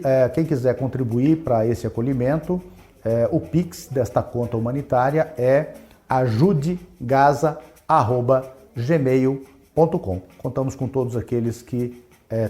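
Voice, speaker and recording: A man's voice: medium pitch, measured pace, dark tone. A Brazilian-born man who presides over an advocacy federation, giving a firm, formal statement. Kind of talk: statement to camera.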